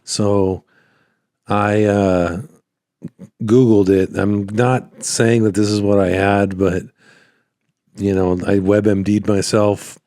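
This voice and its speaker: A man hosting a casual solo podcast, rambling and halting, with a slightly hoarse voice that cuts in and out as he recovers from being sick. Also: on the low side, flat delivery, warm tone, no room sound, studio quality. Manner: rambling, halting, repeats phrases. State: hoarse, voice cutting in and out after illness. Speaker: podcast host, small business owner.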